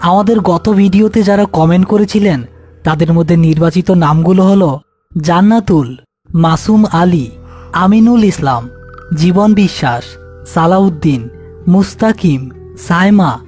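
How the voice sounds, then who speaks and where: A man's voice: high voice, unhurried, pauses often, darkish tone, breathy edge, faint music behind. A man narrating a scripted horror cartoon story.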